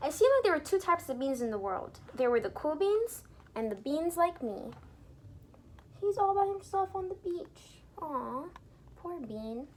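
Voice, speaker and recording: A woman with a high voice reads a children's storybook aloud in a teacherly way. Her delivery is very lively and expressive, swinging up and down as she addresses young listeners. There is some noise in the recording. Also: measured pace. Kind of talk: animated read-aloud for young children with asides explaining words.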